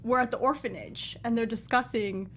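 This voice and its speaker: Female podcast co-host, slightly high voice, casual review banter, some background noise. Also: normal speed, a bit bright. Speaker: talk show host.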